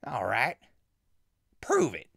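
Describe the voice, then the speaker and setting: A simple, excitable hermit from the backwoods. The voice is sharp and gravelly, leaping around in pitch. It suits a wasteland radio play, with faint music behind. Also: male voice, takes it slowly, dry recording, studio quality, heavily acted. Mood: suspicious. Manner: folksy, excitable. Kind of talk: post-apocalyptic audio drama acting.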